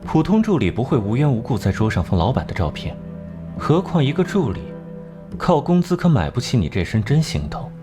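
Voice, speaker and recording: An actor playing a cool, shrewd detective in an animated crime drama, questioning in a terse, dryly sardonic way. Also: male voice, mid-range voice, a little fast, over music. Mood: sardonic, probing. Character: cool, shrewd, acerbic male detective, unflappable interrogator.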